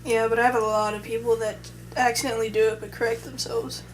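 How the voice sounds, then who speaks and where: Hesitant, rambling, higher-voiced young woman. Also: flat delivery, quick pace, a little hiss or hum, low-quality recording. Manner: hesitant, rambling, many restarts. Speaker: vlogger. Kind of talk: casual chat.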